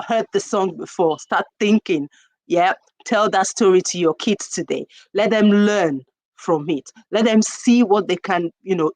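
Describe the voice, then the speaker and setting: A woman with a Nigerian accent hosting a folktale talk, speaking reflectively and deliberately, repeating questions and phrases for emphasis. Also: on the low side. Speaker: storyteller host.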